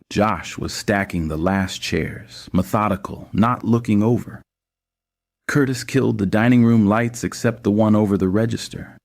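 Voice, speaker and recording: Deep voiced guy narrating an audio story, measured, literary storyteller type read. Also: a little mellow.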